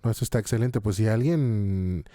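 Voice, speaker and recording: A man's voice: lowish voice, moderate pace, warm tone, dry recording. A man hosting a casual podcast interview, speaking conversationally.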